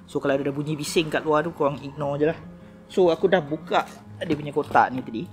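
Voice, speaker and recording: A man's voice: a little high, fast, soft background music. A Malaysian man in his thirties giving casual, slangy unboxing commentary, recorded outdoors at a table mic. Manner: informal, slangy Malay mixed with English words. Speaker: toy review vlogger. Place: outdoor garden patio of a house. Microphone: camera-style shotgun mic on small tripod at the table.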